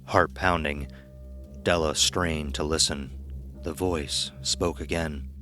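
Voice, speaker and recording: A man narrating a scripted horror story in a measured, dramatic, suspenseful way. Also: rumbling low, steady tone, bright, forceful tone, soft background music.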